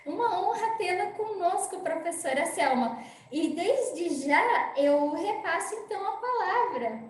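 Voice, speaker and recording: A woman's voice: very high-pitched, lively intonation, a little slow. A woman introducing a speaker at an online conference, effusive and hesitant with fillers. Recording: echoey room, faint background noise.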